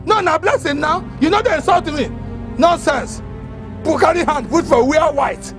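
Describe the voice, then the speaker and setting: A man's voice: very high-pitched, normal speed, over music. A man acting a brash, mouthy tenant in a Nigerian Pidgin comedy drama, ranting and taunting with a Nigerian accent. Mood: defiant, scornful. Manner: loud, taunting, repetitive ranting. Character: brash, mouthy male tenant, drinking and defiant.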